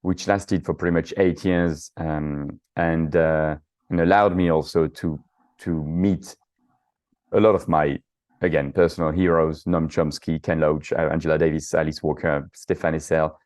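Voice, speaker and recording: A man's voice: very deep voice, flat delivery, moderate pace, darkish tone. A middle-aged man from the south of France with a French accent, a guest answering interview questions in a hesitant way, with many fillers and restarts. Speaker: guest, author and activist.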